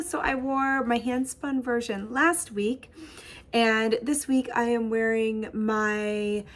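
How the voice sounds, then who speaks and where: A woman in her thirties hosting a casual knitting podcast from her home craft studio, chatty and bubbly, with a husky voice. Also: on the high side. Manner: bubbly, chatty, laughs often, gestures while talking. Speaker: host. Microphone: webcam framing at desk.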